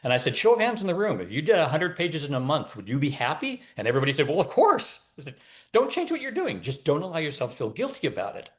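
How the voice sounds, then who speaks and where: A man's voice: on the high side, wildly swooping pitch, fast. A man talking casually as an author guest, wry and opinionated, telling anecdotes and giving advice.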